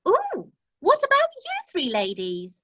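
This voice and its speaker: A woman's voice: extremely high pitch, huge pitch swings, a little slow, pushed and bright, full character voice. A woman playing a bubbly, gushing cruise ship entertainment organiser in an amateur radio comedy. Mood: encouraging. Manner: encouraging, effusive, chirpy. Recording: dull online meeting audio.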